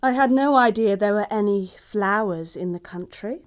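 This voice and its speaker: A woman's voice: mid-range voice, moderate pace, close to an everyday voice. Woman playing a haughty upper-class English young lady. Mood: icily polite. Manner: pompous, precise, disdainful. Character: haughty, snobbish young society lady, cuttingly polite. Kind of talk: stage comedy acting in an audio play.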